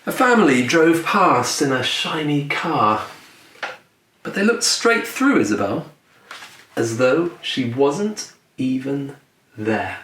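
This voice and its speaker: A man who is a children's author reading his picture book aloud in a gentle storytelling manner with deliberate dramatic pauses. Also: mid-range voice, big pitch swings. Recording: roomy echo, distant mic, faint noise.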